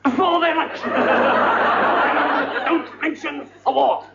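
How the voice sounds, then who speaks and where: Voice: sits high, drawn-out words, vocal fry, very noisy, full character voice. A stage actor playing a frantic, rude, blustering hotel owner who stammers and blurts out his words. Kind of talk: live stage farce. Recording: muffled live recording with loud audience laughter. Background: loud audience laughter.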